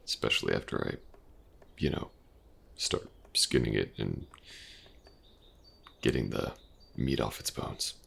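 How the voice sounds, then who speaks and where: A man playing an elven mercenary companion in an intimate fantasy audio roleplay, patient and gentle, with a hesitant, casual way of talking. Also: exaggerated voice. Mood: casual, wry. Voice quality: very deep, gravelly. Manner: hesitant, soft-spoken, casual, with halting pauses.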